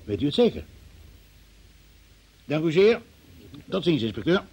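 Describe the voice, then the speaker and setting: An actor playing a stern, sarcastic examining magistrate in a radio play, brusque and probing in manner. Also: male voice, a little high, very animated, a little slow, darkish tone, a little hiss or hum. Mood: brisk, businesslike. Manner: brusque, sardonic, interrogating. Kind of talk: Dutch-language radio play acting.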